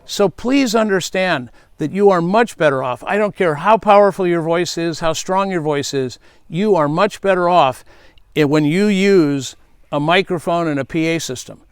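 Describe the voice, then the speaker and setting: A man's voice: fairly high pitch, normal speed, clean tone, dry recording, clean studio sound. A middle-aged man, a safety speaker, giving earnest advice and telling stories from his past. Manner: earnest, advising, storytelling. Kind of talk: speaking tip to camera.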